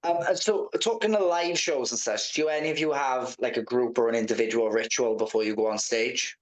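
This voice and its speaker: A man's voice: mid-range voice, fairly quick. A man asking questions as the interviewer in a casual chat. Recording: roomy, echoey sound.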